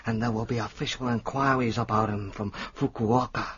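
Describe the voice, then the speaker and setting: Old village elder guy, solemn n fatherly, really husky, muffled radio drama sound. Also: mid-range voice, steady tone, a little fast, a bit bright. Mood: grave, regretful. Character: grave, paternal older male Japanese village elder. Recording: dull lo-fi radio recording.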